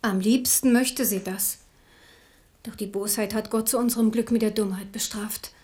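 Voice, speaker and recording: A woman's voice: medium pitch, steady tone, darkish tone, natural voice. A woman playing a grave, devout queen and mother in a German radio play. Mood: solemn, confiding. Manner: grave, intense, deliberate.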